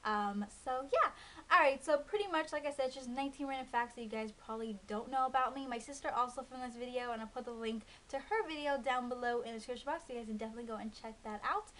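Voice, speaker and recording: A woman's voice: a little high, fast talker, a bit bright, low-quality recording. A woman in her early twenties chatting casually to camera, rambling with lots of ums and likes. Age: 20s. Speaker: beauty vlogger. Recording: faint hiss.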